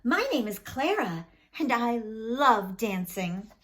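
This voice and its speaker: A woman voicing a sweet, excitable little girl in a children's storybook, with an earnest, expressive delivery. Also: on the high side, takes it slowly, exaggerated voice. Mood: eager. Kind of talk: children's storybook read-aloud.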